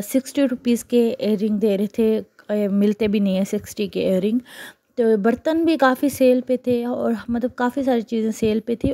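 A woman's voice: fairly high pitch, dark tone, dry recording. A young adult woman, chatting casually as she narrates her own vlog. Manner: chatty, conversational, with frequent fillers. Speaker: vlogger.

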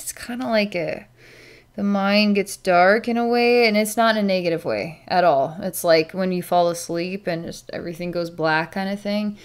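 A middle-aged woman thinking aloud as an animal communicator, speaking haltingly and meditatively with pauses. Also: lowish voice, clear, resonant tone. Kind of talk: slow narration of a psychic session with pets, thinking aloud. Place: small plain room indoors.

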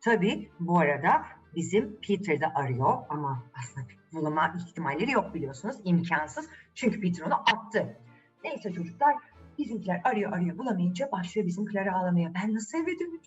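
A woman storyteller narrating a fairy tale for children in a theatrical, expressive way, addressing the young listeners directly. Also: fairly low pitch, a little fast, far from the mic, muffled recording, soft background music.

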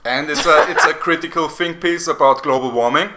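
A man's voice: on the high side, brisk, heavy background noise. A man hosting a casual film review podcast, joking and laughing often, with hesitant restarts. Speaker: podcast host.

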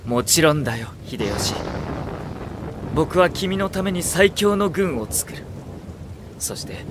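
An actor playing a refined, cerebral young strategist in an audio drama, with elegant, courtly, probing phrasing. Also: male voice, fairly high pitch, lively intonation, slow pace, noisy background. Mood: calm, devoted. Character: refined, cerebral young male strategist, coolly devoted to his lord. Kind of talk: Japanese audio drama acting.

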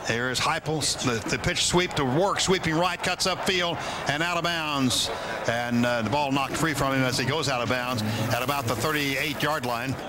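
A man's voice: medium pitch, normal speed. A man with an American accent calling American football play by play on live radio in short, clipped phrases. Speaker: play-by-play sports announcer. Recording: noisy radio broadcast with loud stadium ambience. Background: loud stadium noise.

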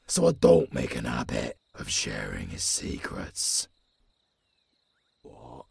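A legendary old werewolf mentor for an audio roleplay, with a rough London British accent. The voice is extremely low and crackles with heavy gravel. He speaks slowly, in a tough, commanding yet caring way. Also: darkish tone, exaggerated voice. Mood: gruff, confiding. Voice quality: very deep, raspy, gravelly growl. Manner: slow, rough, swearing, commanding.

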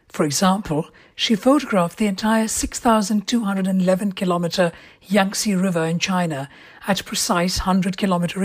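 A woman's voice: on the low side, slightly rough. A woman from India hosting an interview podcast, asking curious follow-up questions and often repeating words as she goes. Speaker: podcast host.

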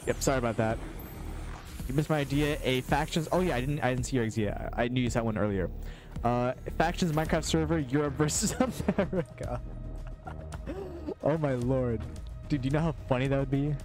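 A man's voice: medium pitch, fairly quick, darkish tone. A young man around twenty, chatting casually with his live-stream chat while playing a game, reading messages aloud and reacting to the action. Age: young adult. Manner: chatty, reads chat aloud, swears and yelps at sudden enemies. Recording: game music playing under the voice.

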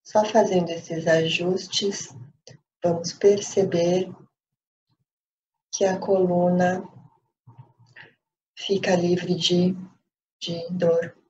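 A Brazilian woman leading a guided meditation as a teacher, speaking calmly in short phrases with long pauses. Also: on the low side. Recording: dull lo-fi sound with light background noise.

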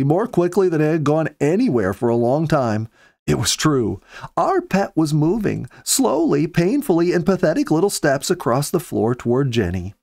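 A man in his fifties reading a story aloud in measured, earnest storybook narration, close to the microphone. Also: medium pitch, vocal fry, darkish tone, no room sound. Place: small indoor home study. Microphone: close studio microphone with pop filter.

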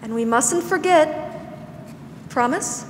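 A woman's voice: high voice, animated, takes it slowly. A woman acting a kindly, patient older country spinster in a 1940s-style radio drama. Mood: soothing. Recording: roomy stage hall, faint noise.

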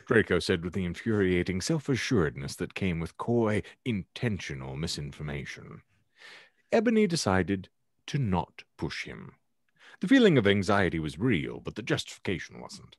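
A man reading fiction aloud in a theatrical, ornate storytelling style with dramatic pauses. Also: low voice, huge pitch swings, dry recording, studio quality. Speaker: podcast host reading aloud his own fan fiction. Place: indoor room at home, video call.

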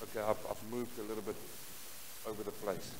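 A man's voice: on the low side, fairly quick, noisy background. An older man from South Africa with an Afrikaans accent, preaching a storytelling sermon in a small church hall and talking directly to the congregation. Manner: animated, gesturing widely, talks to the congregation. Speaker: pastor.

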